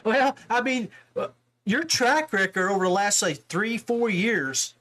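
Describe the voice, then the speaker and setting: High-voiced man, podcast host, casual interview style, chummy and familiar. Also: expressive, measured pace, a bit bright. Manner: chummy, addresses listeners and guest as guys, man, brother.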